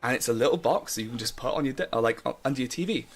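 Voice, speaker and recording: A man's voice: mid-range voice, animated, very fast, husky, soft background music. A young man chatting casually on a live stream, rambling with lots of fillers and restarts while he answers chat. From Wales.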